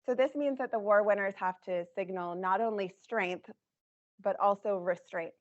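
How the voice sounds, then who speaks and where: A woman presenting her research in a measured academic talk, her voice scratchy, with frequent ums. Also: medium pitch. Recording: dull lo-fi recording.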